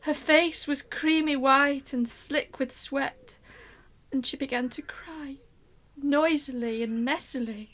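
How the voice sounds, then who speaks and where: An actress playing an anxious middle-aged mother, telling her story in an expressive, wry first-person radio play monologue. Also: sits high, slow. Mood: tense, alarmed. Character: anxious middle-aged mother recounting a troubled family holiday.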